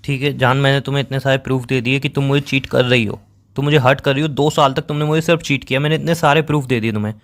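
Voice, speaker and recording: A man's voice: medium pitch, fairly even intonation, fast talker, fairly bright tone, natural voice. A man playing a hurt, accusing young boyfriend in a dramatized breakup phone call, pleading and sarcastic and repeating himself for emphasis. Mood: weary, pleading. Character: hurt, accusing young boyfriend confronting his cheating girlfriend.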